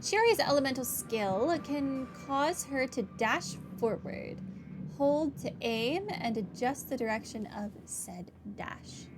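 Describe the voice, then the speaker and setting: A woman's voice: high-pitched, animated, slow. A woman streaming a game with casual commentary and wry, reactive asides. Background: game music.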